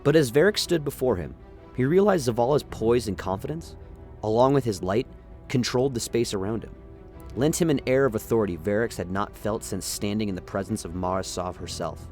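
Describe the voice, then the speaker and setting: A man's voice: fairly low pitch, expressive, fairly quick, darkish tone, faint music behind. A man narrating a science-fiction radio play in a dramatic, scripted storytelling style. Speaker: narrator, lore reader.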